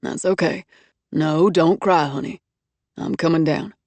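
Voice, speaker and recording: A woman reading an audiobook, voicing a tall, calm man who rescues and soothes with wry tenderness. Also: low voice, unhurried, no room sound, pitched below the performer's own voice. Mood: soothing.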